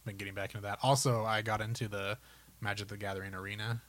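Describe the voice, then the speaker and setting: A man's voice: on the low side, flat delivery, quick pace, fairly bright tone. A man co-hosting a podcast, chatting casually and informally in a rambling way about films and games. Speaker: podcast co-host.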